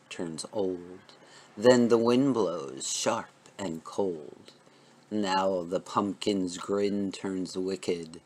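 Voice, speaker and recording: A man works as presenter and narrator. His voice sits fairly low, and he delivers scripted material with theatrical care.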